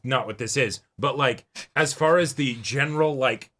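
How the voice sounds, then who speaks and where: A man's voice: medium pitch, moderate pace, a bit bright. A man co-hosting a reaction show, chatting casually with frequent agreeable, conversational interjections.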